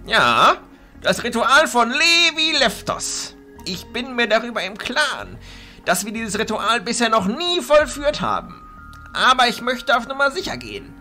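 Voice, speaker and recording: A man playing an elderly, authoritative arch-chancellor of illusionists in a fantasy audio drama, speaking in a theatrical, deliberate way. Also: extremely high pitch, very animated, pushed and bright, soft background music, full character voice. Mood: commanding, grave.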